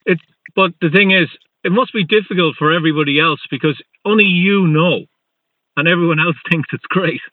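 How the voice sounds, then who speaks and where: A man's voice: fairly high pitch, brisk, dry recording. A male interviewer asking questions with short "yeah" affirmations and some stumbling restarts. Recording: dry, tinny phone-like line.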